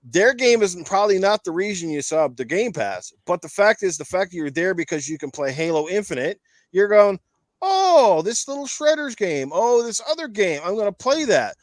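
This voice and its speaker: A man's voice: high-pitched, very animated, normal speed, no echo. Casual male podcast host, close dry sound. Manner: rambling, emphatic, explains with analogies. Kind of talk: casual gaming talk show discussion.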